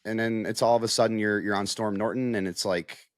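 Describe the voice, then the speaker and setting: A man's voice: on the low side, steady tone, brisk, no room sound, clean studio sound. An American man in a casual sports analysis chat as a reporter, conversational and peppering his points with "like" and "you know". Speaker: sports reporter, podcast guest.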